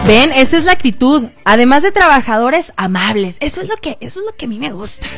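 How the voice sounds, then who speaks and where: Radio host, woman, playful and loud, bright high voice. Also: big pitch swings, fast talker, over music. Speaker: radio host taking listener calls. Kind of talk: casual radio chat.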